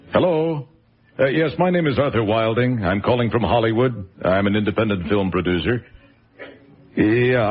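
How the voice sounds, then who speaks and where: A brisk, impatient film producer working the phone in a radio drama. His voice is husky and lively in pitch. The recording is dull. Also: exaggerated voice.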